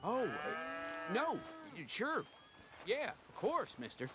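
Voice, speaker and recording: A folksy, inquisitive ranch worker with a rural American accent. His voice sits fairly high and swings around a lot, friendly and deferential. Music runs behind it, as in a western game scene. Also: wildly swooping pitch, takes it slowly, exaggerated voice. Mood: hesitant. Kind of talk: naturalistic video game acting.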